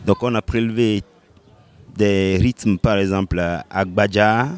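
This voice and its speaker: A man from Benin speaking in a lowish voice, answering at an unhurried pace. He pauses often, fills gaps and chuckles softly as he explains. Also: steady tone, clear, resonant tone. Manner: unhurried, many hesitations, laughs often. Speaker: reggae musician. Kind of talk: interview answers.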